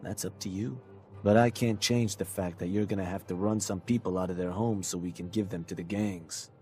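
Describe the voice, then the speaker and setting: An actor playing a cocky, scheming raider adviser in a video game, talking in a persuasive, sardonic way. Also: male voice, deep voice, steady tone, a little fast, mellow, a little hiss or hum, lightly acted. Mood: coaxing. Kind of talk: video game character dialogue.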